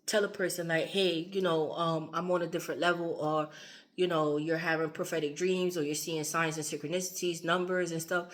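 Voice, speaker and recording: A woman with a slightly low voice reads tarot in a loose, wandering way, circling back over the same words with plenty of fillers. Her voice sounds a little strained and worn, as if she is losing it. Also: little pitch movement, brisk. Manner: loose and repetitive, fillers and asides. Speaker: tarot reader. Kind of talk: casual tarot reading.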